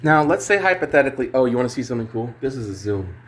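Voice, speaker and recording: A man's voice: mid-range voice, sing-song, very fast. A man giving a casual, unscripted music gear demo in a rambling, hesitant, sweary way. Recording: clear background noise.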